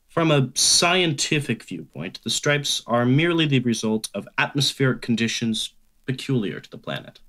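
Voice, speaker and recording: A man performs a learned professor of astronomy for an old-time radio play. He has a medium-pitched voice. He speaks formally and precisely, calmly brushing off wild ideas. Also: normal speed, close to an everyday voice. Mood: calm, dismissive.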